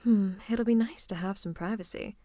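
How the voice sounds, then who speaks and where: A woman's voice: medium pitch, normal speed, dark tone, dry recording, natural voice. A woman playing a playful female supervillain, affectionate and teasing with theatrical menace, in an ASMR roleplay. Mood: sultry, teasing. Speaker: voice actor. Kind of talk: ASMR roleplay acting, one-sided conversation.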